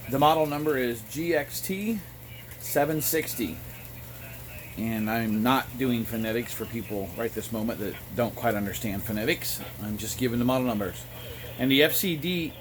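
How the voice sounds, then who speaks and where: A man giving an informal, halting explanation to camera as a hobbyist radio vlogger. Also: medium pitch. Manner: halting, explanatory, apologetic asides. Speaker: amateur radio operator and vlogger. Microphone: phone front camera. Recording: noisy phone recording with background radio. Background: two-way radio sounding in background.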